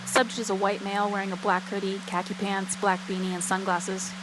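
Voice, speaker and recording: A woman's voice: medium pitch, a little fast. A woman giving procedural radio dispatch calls as a police dispatcher. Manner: flat, procedural. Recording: very noisy, hissing police radio.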